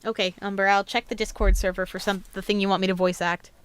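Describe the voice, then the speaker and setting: A woman's voice: medium pitch, level tone, fast talker, clean tone, no room sound. A young woman hosting a casual livestream Q&A, chatting in a rambling, informal way. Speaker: host, amateur voice actor.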